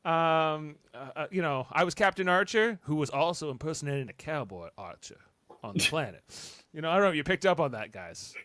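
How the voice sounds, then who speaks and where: A man's voice: fairly high pitch, lively intonation, a little fast, sharp tone, no room sound. A man hosting a podcast in casual chat, rambling and hesitant, with a joking way of talking. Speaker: podcast host.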